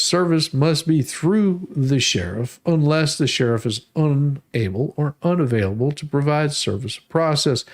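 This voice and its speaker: Folksy American man, slightly high-pitched voice. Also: gravelly. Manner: folksy, sarcastic. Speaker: host reading out legal documents. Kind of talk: humorous dramatic reading with casual asides.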